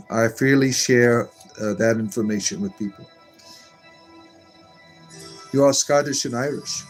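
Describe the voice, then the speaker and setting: A man at an ordinary mid pitch hosts a casual live stream, reading viewer comments aloud and answering each one at a wandering, conversational pace. Soft music plays faintly beneath his voice. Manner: reads comments aloud and answers them in a meandering, chatty way.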